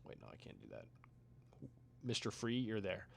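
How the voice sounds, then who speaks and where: A young man chatting casually with his chat while playing a video game. His voice is getting tired, and he rambles, trails off into quiet mumbles, then comes back in short louder bursts. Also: lowish voice, huge pitch swings, normal speed, vocal fry, a little hiss or hum. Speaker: streamer reading chat.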